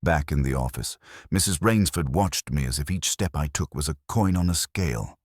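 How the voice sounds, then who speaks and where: A man reading a first-person detective story as an audiobook narrator, in a wry, measured storytelling manner. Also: a bass voice, no echo, studio quality.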